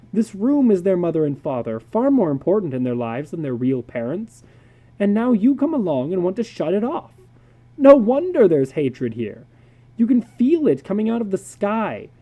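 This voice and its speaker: Reader voicing an emphatic male psychologist, pitched high, mellow. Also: highs cut off. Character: David McLean, brisk blunt worldly male psychologist.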